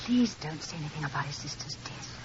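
An actress playing an anxious, protective doctor's wife in a radio drama, well-bred, polite and pleading. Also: female voice, deep voice, lively intonation, brisk, background noise. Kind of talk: old-time radio drama acting. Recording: muffled old radio recording.

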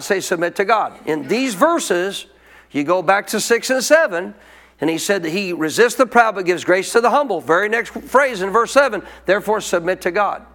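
A man with a high-pitched voice preaching a sermon. He teaches as a pastor in a loose, colloquial way. He keeps prompting the congregation to answer back. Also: fairly bright tone. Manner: call-and-response preaching, colloquial.

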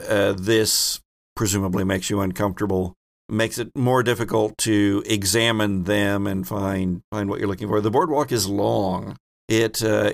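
A man narrating a tabletop game as game master, describing scenes in a deliberate, halting way. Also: lowish voice, gravelly.

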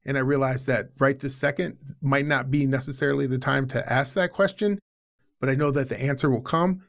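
A man's voice: mid-range voice, steady tone, fairly quick, vocal fry, fairly bright tone. A man hosting a podcast, talking earnestly in a loose, rambling way and laughing often. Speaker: podcast host. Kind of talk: casual podcast conversation.

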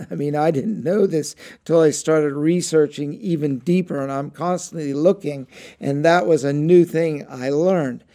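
Slow, mellow-voiced man musing earnestly. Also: fairly high pitch, steady tone. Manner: earnest, rambling, slow and thoughtful. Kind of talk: casual reflective monologue.

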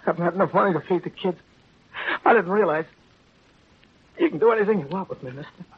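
Casting: desperate poor farmer, very hoarse high voice with big swings, muffled old radio drama. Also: male voice, animated, quick pace. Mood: broken, ashamed. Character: desperate poor farmer who lost his farm, bitter, overwrought. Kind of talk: 1930s radio drama acting.

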